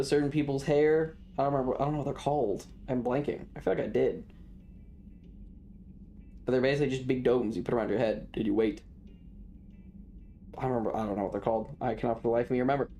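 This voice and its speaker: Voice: mid-range voice, steady tone, brisk, mellow, slight background noise. A streamer chatting casually while playing a video game, rambling along to themselves and the viewers. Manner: rambling, talks to self and chat. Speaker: streamer hosting a live stream.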